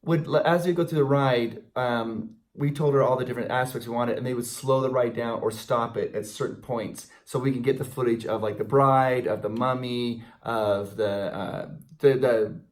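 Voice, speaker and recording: A man's voice: medium pitch, steady tone, normal speed. A middle-aged American man co-hosting a video, chatting casually and retelling stories with quoted dialogue. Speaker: co-host of a theme park video channel. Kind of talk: casual chat, anecdotal storytelling.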